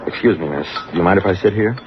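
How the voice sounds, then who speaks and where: An American actor playing a wry, hard-boiled but sympathetic newspaper reporter in a vintage radio drama, with dry, conversational delivery. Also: male voice, low voice, very fast, noisy background, close to an everyday voice. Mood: gentle, low-key. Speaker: actor playing narrator and lead. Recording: muffled vintage radio recording with music.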